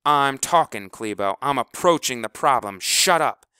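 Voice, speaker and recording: A man's voice: medium pitch, expressive, normal speed, pushed and bright, clean tone, dry recording, studio quality, voice pushed up. A man voicing an earnest boy captain who speaks clipped, sure and urgent. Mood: urgent, insistent. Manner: urgent, insistent.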